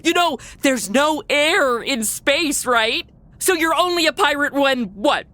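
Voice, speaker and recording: Comic lead, a woman playing a snarky modern young woman, high bright voice, lively. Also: full character voice. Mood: wisecracking. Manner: wisecracking, giggly, quick to mock. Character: sarcastic modern young woman dropped into the future. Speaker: series lead.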